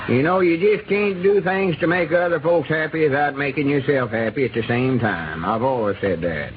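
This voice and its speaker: An actor playing a kindly old Arkansas country codger with a folksy rural Southern drawl in a 1930s radio drama. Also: male voice, mid-range voice, normal speed, natural voice. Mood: earnest, warm. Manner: folksy, plain-spoken rustic drawl. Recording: noisy, muffled 1930s radio recording.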